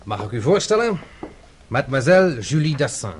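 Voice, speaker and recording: An actor playing a formal, apologetic, deferential gentleman in a radio drama. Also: mid-range voice, sing-song, brisk, noisy background, lightly acted. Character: courteous, apologetic Frenchman, a man.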